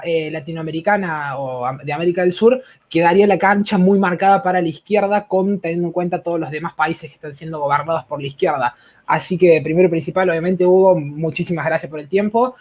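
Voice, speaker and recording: A man's voice: sits high, darkish tone. A man with an Argentine accent hosting a live interview in a rambling way, with fillers and restarts. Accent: Argentine Spanish. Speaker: host. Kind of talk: casual interview talk.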